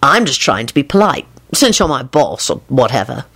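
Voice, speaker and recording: A cheeky, unrepentant prankster of a man, read by a woman storyteller. He is flippant and careless, easily amused, with a lively swing in his delivery. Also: rumbling low, normal speed, vocal fry, husky, voice pushed down, heavily acted. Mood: bored, insolent. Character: carefree, insolent male prankster, amused and unrepentant. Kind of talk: dramatic storytelling of fan fiction.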